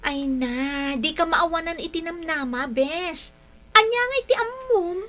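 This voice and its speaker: A woman's voice: extremely high pitch, expressive, unhurried, bright, forceful tone, exaggerated voice. A woman playing a pushy young best friend in a Filipino radio drama, with insistent coaxing. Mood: exasperated, insistent.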